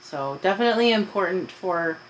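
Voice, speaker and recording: A woman's voice: fairly low pitch, expressive, brisk, slight background noise, low-quality recording. An adult woman talking casually with a child, patiently explaining and asking questions. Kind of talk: casual conversational discussion with a child.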